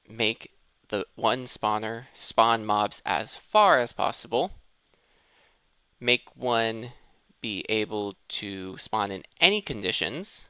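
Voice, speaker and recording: A man's voice: medium pitch, sharp tone, clear, resonant tone, dry recording. A man hosting a gaming video in casual commentary, thinking aloud and correcting himself as he goes. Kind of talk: casual gameplay commentary of modded Minecraft.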